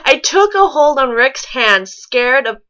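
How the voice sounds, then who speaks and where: A woman's voice: high voice, big pitch swings, measured pace, pushed and bright. A woman reading fan fiction aloud with sarcastic, incredulous casual commentary, stumbling over the text now and then.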